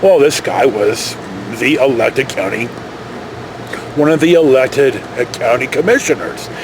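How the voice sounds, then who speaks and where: A man's voice: mid-range voice, unhurried, background noise. An American man from California tells a casual, rambling anecdote in a folksy, digressive, mildly profane way. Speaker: storytelling vlogger.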